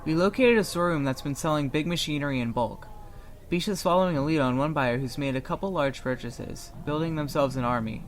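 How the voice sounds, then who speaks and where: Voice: mid-range voice, brisk, natural voice. Casting: performer as easygoing anime firearms mentor, encouraging and frank, casual delivery, noisy ambience behind. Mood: candid, relaxed. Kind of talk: fan-made anime audio drama acting.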